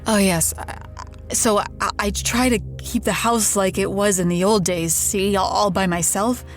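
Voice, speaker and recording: An actress playing a haunted woman in an audio drama, confiding a ghost story in an anxious, halting, repetitive way. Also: medium pitch, fairly even intonation, faint music behind, close to an everyday voice. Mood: wistful, halting.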